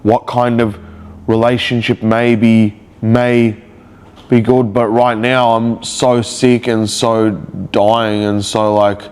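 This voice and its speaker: A man's voice: medium pitch, little pitch movement, slow, a little mellow, clean tone. Stuffy-nosed man rambling emotionally. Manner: rambling, emotional, searching for words. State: nasal from a cold. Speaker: vlogger. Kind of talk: confessional video diary.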